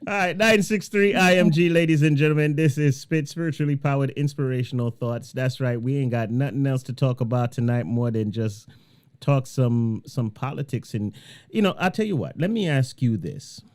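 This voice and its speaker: A man's voice: mid-range voice, moderate pace, darkish tone, no room sound, studio quality. A man hosting a talk radio show, rambling and drawn out, laughing often. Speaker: radio host.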